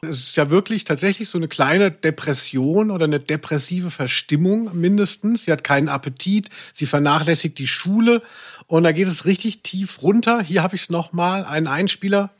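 A man's voice: on the high side, moderate pace. A man co-hosting a casual podcast discussion in a reflective way, searching for his words. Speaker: podcast co-host.

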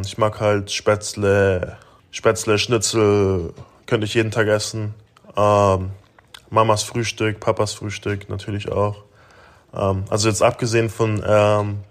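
A young man of nineteen from Germany talks through interview questions in a loose, rambling way, full of fillers and restarts. His voice sits on the low side and stays flat, with little rise and fall. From Germany (Augsburg). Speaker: interview guest, basketball player. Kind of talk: casual interview answers.

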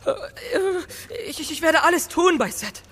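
A man's voice: extremely high pitch, expressive, unhurried, fairly bright tone, in a large hall, exaggerated voice. A man playing a fawning, stammering Egyptian court healer-priest in a children's audio drama. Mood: panicked, fawning.

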